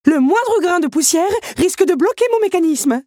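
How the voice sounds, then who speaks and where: A woman's voice: very high voice, animated, normal speed, warm tone, no room sound, studio quality, pitched above the performer's own voice. A woman voicing a fussy, panicky male mantel clock in a storybook reading, fretful and stammering.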